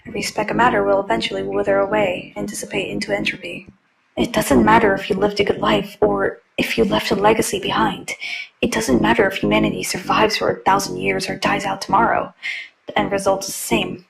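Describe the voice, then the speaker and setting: Woman voicing a gravelly, sardonic nihilist robot schoolgirl. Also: mid-range voice, flat delivery, fairly quick, music in the background, rough recording. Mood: bleak. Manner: brash, sardonic, turning to bleak monologue. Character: sarcastic, foul-mouthed, nihilistic robot schoolgirl. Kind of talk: fan dub voice acting of a video game character.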